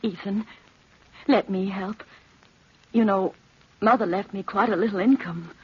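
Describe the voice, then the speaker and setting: Husky-voiced, devoted lovelorn sweetheart. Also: female voice, mid-range voice, measured pace. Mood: eager pleading. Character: devoted, lovelorn, trusting woman bank clerk. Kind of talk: old-time radio drama acting. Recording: dull, lo-fi old radio recording.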